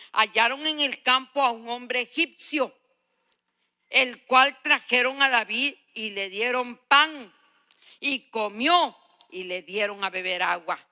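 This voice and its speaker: Older lady preacher giving a sermon, really bright intense voice, emphatic, says stuff twice to drive it home. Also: fairly high pitch, slow. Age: 60s or older. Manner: emphatic, exhorting, repeats phrases for emphasis. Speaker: guest preacher.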